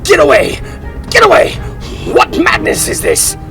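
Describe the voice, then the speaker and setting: A man's voice: measured pace, faint music behind, lightly acted. A man playing a bombastic, theatrical older villain, a mad doctor, in a cartoon audio drama. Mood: panicked. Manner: gloating, theatrical, shouting.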